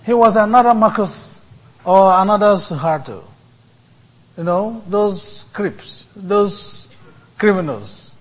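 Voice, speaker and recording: A man giving a sermon as a priest, speaking in short, deliberate phrases with many pauses. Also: high-pitched, lively intonation.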